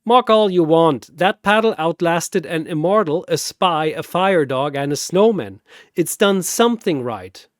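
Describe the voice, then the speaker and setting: A man's voice: a little high, expressive, measured pace, no echo, studio quality, pitched above the performer's own voice. A man voicing an amused, smug, theatrical arena-master entity with a mocking, showy delivery in a fantasy battle story. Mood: defiant.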